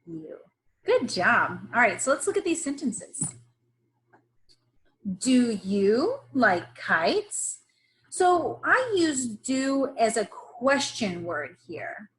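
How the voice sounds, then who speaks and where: A woman teacher leading a reading lesson for young children, instructing in an encouraging way with pauses for them to repeat. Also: fairly high pitch, big pitch swings, fairly bright tone, low-quality recording. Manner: instructional, encouraging, pausing for repetition. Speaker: early-grades teacher. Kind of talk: slow read-aloud phonics lesson for young children.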